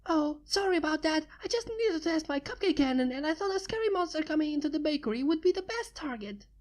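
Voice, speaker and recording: A hyper, playful pink pony mare who teases and chatters along, as a woman puts her on. Her voice pitches up high and bounces freely, bright and bubbly. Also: very animated, fast. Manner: bubbly, teasing.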